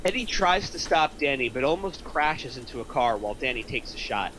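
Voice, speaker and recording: A man's voice: medium pitch, sing-song, fairly quick, bright, forceful tone, slight background noise. A man narrating, reading out screenplay stage directions at a table read.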